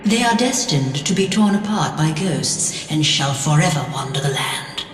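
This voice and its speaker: An actress playing a cold, imperious queen of the underworld in a fantasy video game, speaking with slow, formal menace. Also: deep voice, expressive, slight background noise. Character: cold, imperious Queen of the Netherworld, judge of dead souls. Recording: echoing, distant voice under loud music.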